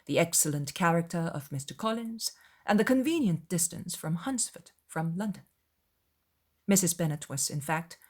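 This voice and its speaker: Female audiobook narrator, lower register, measured and expressive delivery for a classic period novel. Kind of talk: dramatized literary narration.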